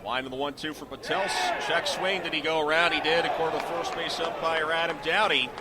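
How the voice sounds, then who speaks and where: Higher-pitched male radio play-by-play announcer. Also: steady tone, fairly quick, very noisy. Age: middle-aged. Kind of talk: live radio sports commentary.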